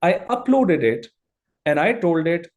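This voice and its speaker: Man, urdu first language, slightly high voice, video call, energetic trainer, restarts sentences. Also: measured pace. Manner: energetic, repeats and restarts phrases. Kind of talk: live online training demo.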